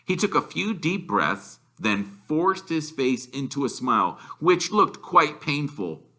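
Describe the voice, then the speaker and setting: A man narrates a children's novel with very bright, forceful delivery. His pitch swings in a lively way, and he takes an unhurried, deliberate pace as he tells the story. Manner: animated, deliberate. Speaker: reader, storyteller.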